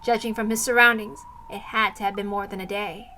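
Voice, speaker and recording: A woman's voice: mid-range voice, steady tone, brisk, pushed and bright, a little hiss or hum. A woman narrating a visual novel aloud as a dramatic story reader.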